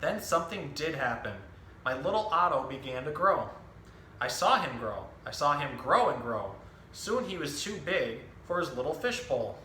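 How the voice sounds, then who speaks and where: Bright-voiced man in an echoey room. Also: on the high side, sing-song, moderate pace. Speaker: zookeeper and storytime host. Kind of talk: casual animal education talk and children's picture book reading. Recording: roomy echo with some background noise.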